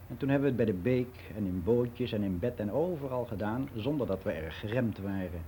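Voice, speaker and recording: Actor as mellow older man reminiscing, faint hiss. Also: on the low side, animated, a little fast, lightly acted. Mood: candid, reminiscing. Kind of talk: radio play monologue as a personal testimony.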